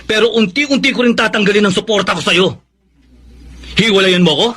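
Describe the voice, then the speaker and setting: A man's voice: high-pitched, brisk, sharp tone. A man with a Filipino accent playing a stern, angry husband in a radio drama, scolding and threatening. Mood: furious, threatening. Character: angry, stern husband fed up with his addicted wife.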